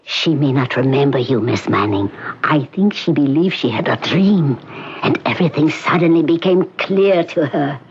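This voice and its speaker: An actress playing a doctor, speaking in a formal, measured, clipped way. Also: female voice, deep voice, expressive, darkish tone, lo-fi sound, a little hiss or hum.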